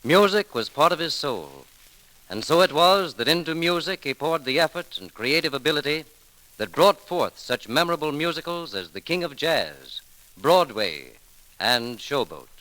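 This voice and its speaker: A man's voice: fairly high pitch, big pitch swings, normal speed, bright, forceful tone, no room sound, rough recording. An American man announcing a memorial program on 1930s radio with theatrical, reverent oratory, in an old broadcast recording.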